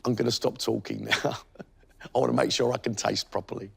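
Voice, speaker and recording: Hoarse man with a gravelly voice. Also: medium pitch, fairly even intonation, fairly quick. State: hoarse from a cold. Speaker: judge. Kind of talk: TV cooking competition judging. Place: TV studio kitchen. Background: background music.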